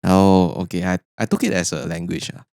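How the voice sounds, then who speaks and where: A young Malaysian man with a very low voice talks in a relaxed, hesitant way on a casual podcast, his English sprinkled with Malay words. He is recorded dry and close, with no echo. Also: brisk, creaky. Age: young adult. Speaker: podcast co-host. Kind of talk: casual chat.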